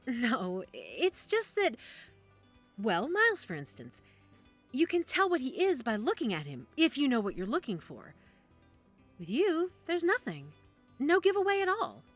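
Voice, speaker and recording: A woman playing a nervous, polite medical examiner in an audio drama, speaking in a hesitant, halting way. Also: sits high, huge pitch swings, soft background music, heavily acted. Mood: awkward. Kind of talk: naturalistic audio drama dialogue.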